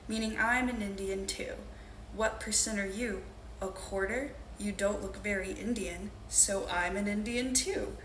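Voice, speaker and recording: Young woman, from Louisiana, poet, measured and earnest, echoey room, faint background hum. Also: medium pitch, fairly bright tone. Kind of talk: poetry reading with spoken introductions. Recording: roomy echo with faint ambience.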